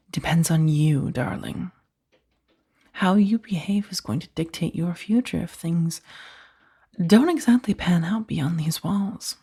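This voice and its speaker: A woman playing a powerful, coolly amused mafia boss in a soft-spoken ASMR roleplay, unhurried and condescending, with a breathy, husky voice. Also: on the low side. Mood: threatening, seductive. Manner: unhurried, velvety menace with condescending endearments.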